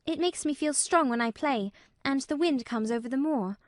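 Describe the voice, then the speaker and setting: A high-voiced, timid little girl. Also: expressive, moderate pace, no room sound, studio quality. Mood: timid, earnest. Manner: tremulous, breathless, pleading.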